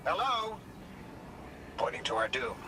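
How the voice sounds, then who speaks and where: A man's voice: medium pitch, very animated, unhurried. An actor playing a wry, brash adventurer in a video game, blunt and challenging in his questions. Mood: suspicious, demanding. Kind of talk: video game dialogue acting. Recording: very noisy ambient background.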